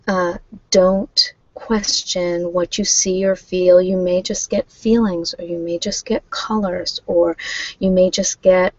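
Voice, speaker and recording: A reassuring female energy healer, acted out by a woman, speaks gently to her client in an ASMR role play. She is attentive and soothing. The recording is very dry, with a slightly muffled tone. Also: fairly low pitch, vocal fry, no echo.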